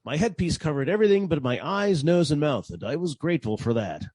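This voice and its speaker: An actor playing a wry, deadpan private detective narrating his caper in 1940s radio style. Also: fairly high pitch, fairly quick, dry recording. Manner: deadpan, wisecracking.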